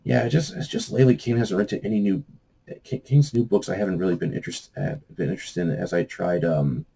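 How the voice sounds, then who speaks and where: A man giving a casual, rambling review to viewers, halting often as he searches for words and trails off. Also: deep voice, gravelly, dark tone, a little hiss or hum. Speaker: book review vlogger. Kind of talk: casual rambling book chat.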